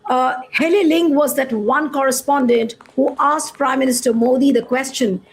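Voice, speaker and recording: High-voiced woman news host. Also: moderate pace, low-quality recording. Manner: formal, pressing follow-up questions. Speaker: show host. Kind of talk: news interview and programme intro.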